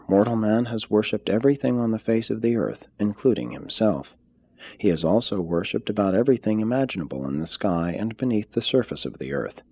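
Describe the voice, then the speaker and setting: A man reading a formal text aloud as scripted narration, in a measured, deliberate manner. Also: lowish voice, a little mellow, slightly rough, no room sound, dull audio. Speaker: audiobook reader.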